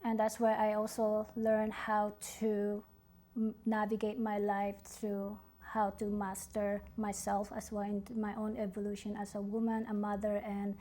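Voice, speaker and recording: A middle-aged woman from the Philippines, a guest on an interview, speaking earnestly and haltingly. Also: medium pitch. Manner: slow, halting, earnest, soft-spoken. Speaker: author and guest. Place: studio.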